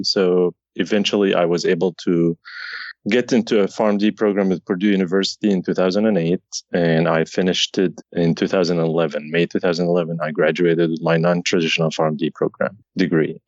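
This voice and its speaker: A man's voice: deep voice, darkish tone, no echo, muffled recording. A man in his thirties from Syria, a pharmacist answering podcast interview questions in a conversational way, often restarting his sentences. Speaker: pharmacy informaticist guest.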